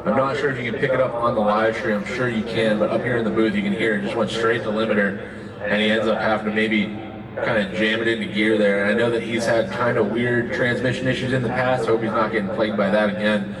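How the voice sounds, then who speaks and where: A man with a fairly low, very even voice calls a drifting event in a casual, explanatory way. The sound is echoey and distant, as heard from a tower, with loud crowd and engine noise around him. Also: fast. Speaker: motorsport commentator in the booth. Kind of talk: live drifting commentary. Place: announcer booth at an outdoor race track. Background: loud crowd and car engines.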